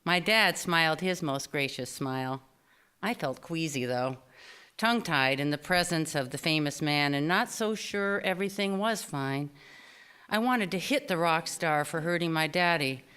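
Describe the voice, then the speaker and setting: A woman reads a personal memoir aloud on stage. Her voice sits a little low and is very smooth and clear. She tells it expressively, shaping and stretching phrases with deliberate care. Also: lively intonation, fairly bright tone. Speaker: author reading own personal essay. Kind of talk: scripted memoir reading at a live storytelling event. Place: small theater stage, live reading before an audience.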